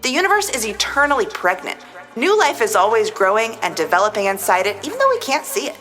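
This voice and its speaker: Theatrical, high-pitched, animated woman podcaster. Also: quick pace, soft background music. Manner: theatrical, emphatic, sardonic. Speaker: podcast co-host. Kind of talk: mock-dramatic reading of tweets, mixed with casual chat.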